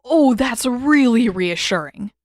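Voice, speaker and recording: Woman as loud, exasperated teenage boy, raised pitch. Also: expressive, slow pace, dry recording, clean studio sound. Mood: indignant, scared. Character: sarcastic, excitable, protective teenage boy.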